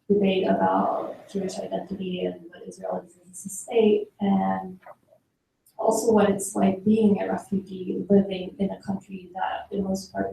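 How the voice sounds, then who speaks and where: Soft, gravelly woman in a roomy hall. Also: mid-range voice. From Sweden. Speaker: photographer. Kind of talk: personal talk about her career. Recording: echoey hall, heard from a distance.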